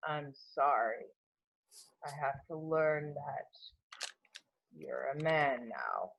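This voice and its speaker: A man playing a subdued, laconic older man in an amateur table read, speaking in a halting, terse way. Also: on the high side, creaky, highs cut off, full character voice.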